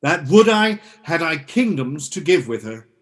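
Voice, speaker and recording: Man playing a higher-voiced, stately duke. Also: very animated, unhurried. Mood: stately, musing. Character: stately banished duke, a father. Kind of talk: Shakespearean radio play acting.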